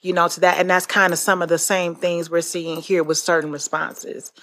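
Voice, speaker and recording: Earnest American woman with a lowish voice. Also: level tone, moderate pace, fairly bright tone. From United States. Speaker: podcast host. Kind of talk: conversational podcast discussion.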